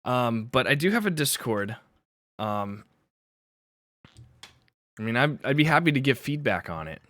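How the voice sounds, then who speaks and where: A man streaming live as a music producer, chatting casually and thinking aloud in halting bits with fillers while he works on a mix. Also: medium pitch, sing-song, fairly bright tone, no echo.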